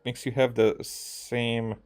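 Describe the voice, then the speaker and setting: A man giving casual, unscripted game commentary in a halting, rambling way, circling back over his words. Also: mid-range voice, flat delivery, darkish tone. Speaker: gaming streamer playing and commenting on a game.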